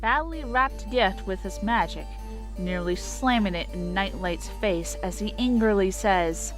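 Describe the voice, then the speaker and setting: A woman's voice: mid-range voice, unhurried, music in the background, poor audio. A woman reading scripted story narration as the narrator of a dramatic tale.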